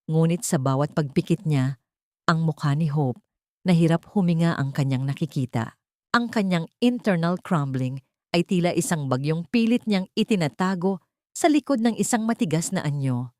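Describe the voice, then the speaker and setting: Lowish-voiced woman narrating a dramatic story. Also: expressive, moderate pace, a little mellow, no room sound, clean studio sound.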